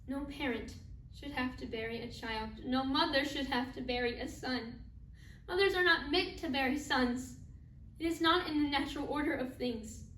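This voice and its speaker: A woman's voice: high-pitched, a bit bright. A woman performing a stage monologue as a devout, grieving mother, speaking solemnly in emphatic short phrases. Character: grieving, devout, defiant mother of a dead son. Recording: roomy, distant mic, faint noise.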